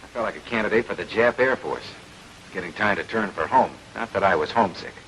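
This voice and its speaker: A man's voice: fairly low pitch, fast talker, close to an everyday voice. An American actor playing a wry young fighter pilot telling of his sortie as voice-over in a 1940s military film. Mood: wry, tense. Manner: wry, colloquial, confessional. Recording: very noisy old film track, engine drone. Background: loud aircraft engine hum.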